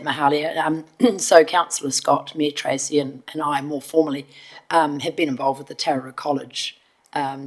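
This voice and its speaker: A New woman chairs a council committee in a meeting room, her voice low and gravelly. She is hoarse and moves through the procedure steadily, with many ums and repeated words.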